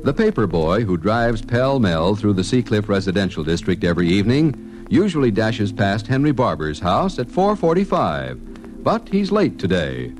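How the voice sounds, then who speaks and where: An American man announces a vintage radio serial in a low, lively voice. He narrates from a script with organ music clearly playing behind him.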